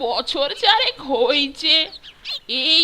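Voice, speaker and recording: A woman voicing an anxious old village grandmother in a cartoon, pleading in a slow, rustic way. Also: high-pitched, big pitch swings, a loud crowd, exaggerated voice. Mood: worried. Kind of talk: cartoon dub acting.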